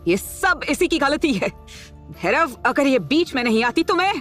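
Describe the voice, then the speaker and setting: A woman's voice: high voice, very animated, moderate pace, a bit bright, faint music behind. An actress playing a proud, hot-tempered, scorned young woman in an audio drama, with an emotional, melodramatic delivery. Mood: defensive. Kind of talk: Hindi audio drama acting.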